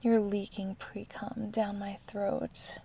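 A woman playing an amorous, eager adult lover in an intimate audio roleplay, speaking to the listener in a breathy, whispery voice. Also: mid-range voice, level tone, dark tone, full character voice. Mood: seductive, hushed. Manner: slow, intimate, addressing the listener directly.

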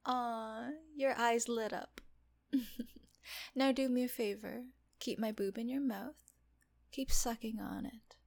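A woman voicing a teasing, doting female nurse in an intimate audio roleplay, talking softly and often in a whisper. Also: a little high, steady tone, slow, vocal fry, pauses often, full character voice. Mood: teasing, coaxing.

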